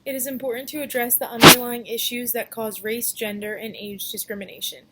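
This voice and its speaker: A young woman, a student, reading a scripted narration clearly. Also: a little high, level tone, a little fast, very noisy. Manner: clear, read-aloud delivery.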